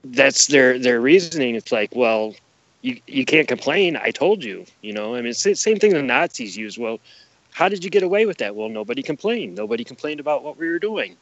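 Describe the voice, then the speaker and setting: A man talks as a guest on a podcast, in a mid-pitched voice. He explains in a casual but emphatic way, circling back to repeat his phrases. The audio is muffled and dry. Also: lively intonation, a little fast, fairly bright tone, no room sound. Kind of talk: casual explanatory interview talk.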